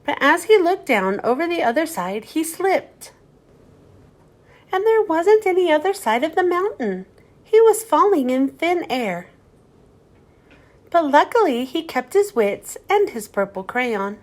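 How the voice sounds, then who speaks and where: Woman storyteller for kids; high, animated voice, unhurried read-aloud. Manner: slow, gentle storytelling, lively rise and fall. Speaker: children's book reader. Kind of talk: reading a picture book aloud.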